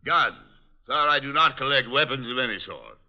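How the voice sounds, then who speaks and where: An actor playing a pompous, indignant rich collector with haughty, florid diction in an old radio detective drama. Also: male voice, medium pitch, animated, moderate pace, natural voice. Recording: dull vintage radio recording.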